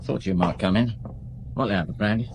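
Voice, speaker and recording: An actor playing a world-weary nightclub owner in a radio drama, casual, hesitant and confiding. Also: male voice, on the low side, fairly quick, background noise, close to an everyday voice. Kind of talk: 1950s-set radio drama acting.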